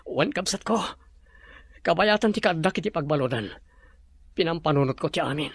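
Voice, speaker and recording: Guy playing repentant filipino ex-con brother in audio drama, high voice, dry recording, very earnest. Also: expressive, normal speed, breathy edge, dry recording. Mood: remorseful. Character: repentant elder brother, reformed former prisoner.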